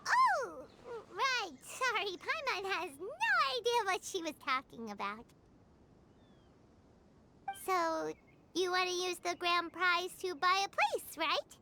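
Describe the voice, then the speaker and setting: A woman voicing a small, childlike fairy companion in a video game, perky, expressive and easily huffy. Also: very high-pitched, slow pace, a little hiss or hum, full character voice. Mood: curious, sheepish. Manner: speaks of self in third person, expressive. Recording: music behind the voice.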